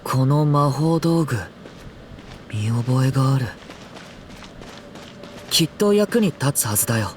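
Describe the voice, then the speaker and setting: A man playing a gentle, earnest young dragon in human form, acted in anime game style. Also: medium pitch, animated, moderate pace, pauses often, a little hiss or hum, lightly acted. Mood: resolved.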